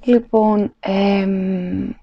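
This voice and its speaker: A woman's voice: medium pitch, fairly even intonation, gravelly, husky, highs cut off. A woman in her thirties chatting casually to the camera in her home kitchen at night, rambling with plenty of fillers and restarts. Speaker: vlogger. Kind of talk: casual haul vlog chat.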